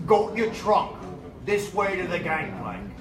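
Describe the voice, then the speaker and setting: A man's voice: high voice, a little slow. A man playing an obliging, formally courteous sailor porter in a live radio drama, drawing out his words. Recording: echoey lobby from far off, crowd noise.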